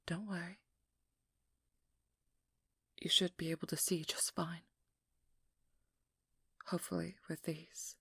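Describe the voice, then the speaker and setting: A woman playing a determined, guarded and protective vampire hunter in an intimate ASMR role-play, hushed and often whispering, with halting, earnest delivery. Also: on the low side, level tone, creaky, husky, no room sound, studio quality, heavily acted. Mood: firm, protective. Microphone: close microphone in a dry room.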